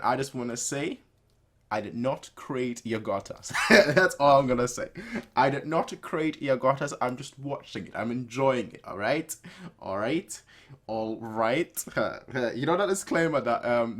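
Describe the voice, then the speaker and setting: A man gives casual reaction commentary in a mid-pitched voice. He stammers into his point and repeats short phrases for emphasis. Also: animated, moderate pace, a bit bright. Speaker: reaction video host. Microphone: desktop webcam setup.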